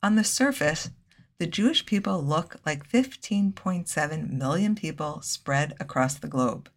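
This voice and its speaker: A woman giving a measured, earnest scripted Torah lesson, weaving in Hebrew terms. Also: sits low, lively intonation. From United States. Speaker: Torah teacher.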